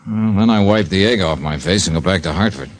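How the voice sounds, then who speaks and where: An actor playing a cool, wry freelance insurance investigator in an old-time radio detective drama. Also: male voice, low voice, fairly quick, close to an everyday voice. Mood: wry, reassuring. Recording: vintage radio broadcast recording.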